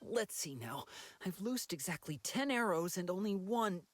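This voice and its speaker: A woman voicing an earnest young female archery recruit in a video game, expressive and self-critical. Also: fairly low pitch, moderate pace. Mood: frustrated.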